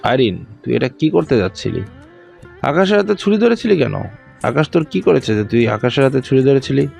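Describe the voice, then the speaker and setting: Guy playing worried man in an audio drama, creaky voice, pleading and reproachful, light music under. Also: medium pitch, sing-song, a little fast, darkish tone. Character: concerned, protesting men: boss's father and office manager. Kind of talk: audio story character dialogue.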